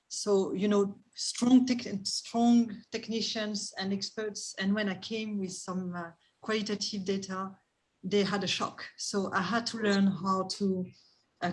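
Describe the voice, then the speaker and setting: A woman's voice: mid-range voice, low-quality recording. A woman from France with a French accent, introducing herself to an online class with many hesitant fillers over a video call. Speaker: course participant, research manager.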